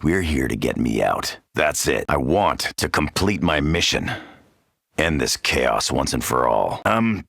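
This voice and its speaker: An American man playing a gruff, cocky, wisecracking macho soldier in a zombie video game, with a gravelly voice. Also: rumbling low, very animated, measured pace, fairly bright tone, no echo, clean studio sound, exaggerated voice. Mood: wary, confiding. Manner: brash, swaggering, wisecracking. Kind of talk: video game character voice lines.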